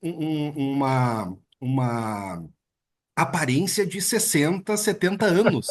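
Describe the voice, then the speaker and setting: A man hosts an interview at an easy, conversational pace. His voice is slightly husky, and his sentences lean on frequent tag questions. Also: fairly high pitch, slow. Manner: relaxed, chatty, with many filler "né"s. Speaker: interviewer and host.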